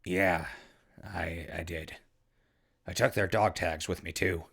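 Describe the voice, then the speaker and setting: A man playing an easygoing, friendly ghoul radio broadcaster in a post-apocalyptic audio drama, husky and gravelly, talking in a casual way. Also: low-pitched, steady tone, slow pace, a bit bright, full character voice. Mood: subdued, earnest. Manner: casual, conversational.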